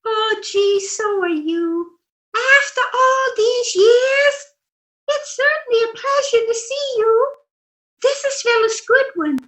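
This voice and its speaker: A woman's voice: extremely high pitch, slow, a bit bright, full character voice. A woman playing a scatterbrained, innocently coy wife in old-time radio comedy, with a childlike manner and roomy, echoing sound. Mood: flustered, pleased.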